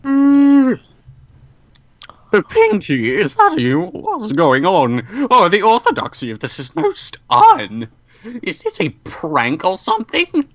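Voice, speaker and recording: A brash, panicky pony girl, as a man plays her, sliding wildly up and down in pitch and drawing out each plea slowly. The delivery is overdramatic and set in a dull, lo-fi storybook reading. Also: huge pitch swings, no echo, heavily acted. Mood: worried. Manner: swooping, overdramatic. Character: frightened, brash young female pony.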